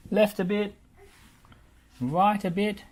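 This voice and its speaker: A man's voice: high-pitched, fairly even intonation, takes it slowly, voice pushed up. A man voicing a cheerful, bossy builder who calls out instructions in a children's storybook. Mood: upbeat, bossy. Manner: calling out instructions loudly. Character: cheerful, bossy male builder, quick to worry.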